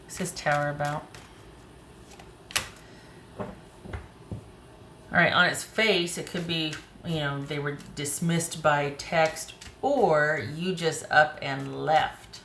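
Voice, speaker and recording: Low-voiced woman doing a tarot reading, a bit hoarse, talks to herself over the cards, echoey room with faint hiss. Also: fairly even intonation, slow pace, long pauses, fairly bright tone. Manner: thinks aloud while pulling cards. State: slightly hoarse. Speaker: tarot reader. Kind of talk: casual tarot reading to camera.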